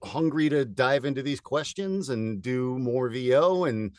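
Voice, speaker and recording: A man in his forties from California, a voiceover artist chatting as a guest in an upbeat, energetic way with lots of fillers. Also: mid-range voice, measured pace, dry recording, studio quality. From United States (California). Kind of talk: casual interview chat.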